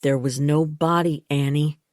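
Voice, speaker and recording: A woman doing a calm, dismissive man. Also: sits low, unhurried, no room sound, clean studio sound. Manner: flat, firm, steady.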